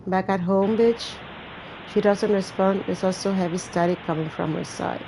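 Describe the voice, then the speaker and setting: A woman's voice: medium pitch, fairly even intonation, moderate pace, noisy background. A woman doing casual gameplay commentary, reading a story aloud with chatty, joking asides. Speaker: gamer playing and reading aloud a horror visual novel.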